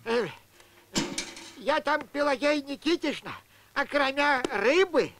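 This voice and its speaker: A woman's voice: high voice, animated, slow, noisy background, heavily acted. A woman voicing a meek, simple, good-natured old peasant man in a puppet cartoon, folksy and hesitant. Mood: pleased.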